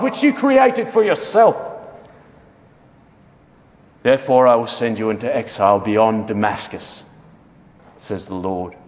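A man proclaiming scripture in a declamatory dramatic reading. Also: mid-range voice. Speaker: Bible reader in a dramatic reading. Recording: long hall reverb.

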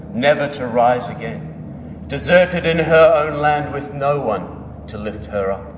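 A man's voice: mid-range voice, slight background noise, close to an everyday voice. A man performing a dramatic scripture reading as a stern, wrathful God speaking through a prophet, solemn, declamatory and emphatic. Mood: mournful, urgent. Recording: echoey hall with long reverb.

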